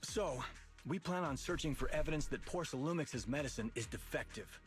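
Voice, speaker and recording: A man's voice: on the high side, expressive, normal speed, faint music behind, close to an everyday voice. A man voicing an earnest, determined labor union leader in a video game. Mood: earnest, resolute.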